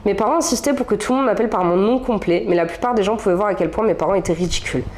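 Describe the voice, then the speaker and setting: A woman's voice: mid-range voice, very fast, a little mellow. A young woman co-hosting a casual chat show, talking freely and reading a story aloud with small slips and asides. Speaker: podcast co-host reading stories.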